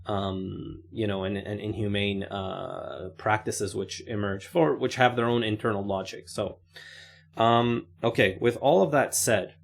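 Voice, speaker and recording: A man with a somewhat low voice delivers casual commentary as the host of his own channel. He talks loosely, leaning on fillers and repeated okays as he works through his argument. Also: steady tone, a little slow, vocal fry. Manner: conversational, filler-heavy, keeps saying okay. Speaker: channel host reviewing an interview.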